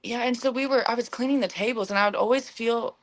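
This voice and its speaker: A young woman as an interview guest, telling stories in a candid, slangy, halting way with frequent "like" and acted-out dialogue. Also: a little high. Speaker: interview guest, former inmate. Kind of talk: candid conversational storytelling.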